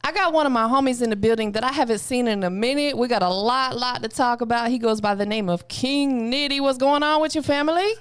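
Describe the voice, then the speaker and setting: Woman, American accent, smooth clear tone, fairly high pitch, podcast host, informal, slangy. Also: quick pace, few pauses. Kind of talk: casual podcast interview.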